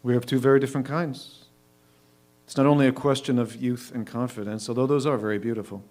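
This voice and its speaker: A man acts out an older waiter with a medium-pitched voice. He is patient, reflective and a little lonely, sympathetic to others, in a reader's theatre style. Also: steady tone, fast, a little mellow, close to an everyday voice. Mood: wistful. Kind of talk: staged reader's theatre reading.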